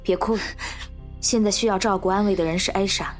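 A woman's voice: medium pitch, steady tone, fairly quick, soft background music, close to an everyday voice. A woman playing a cool-headed, commanding survivor leader in a zombie audio drama, stern and decisive. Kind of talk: Chinese fan audio drama acting.

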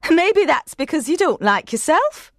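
A woman voicing a cheerful young country housemaid in a storybook reading, chatty and quick. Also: high-pitched, big pitch swings, a bit bright, no room sound, studio quality, voice pushed up, heavily acted. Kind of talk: audiobook character voice.

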